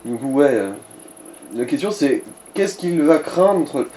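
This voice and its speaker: Slangy man with a mellow voice. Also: a little high, animated, slow pace, slight background noise, rough recording. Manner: slangy, mildly crude. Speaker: co-commentator advising the player. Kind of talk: casual gaming chat.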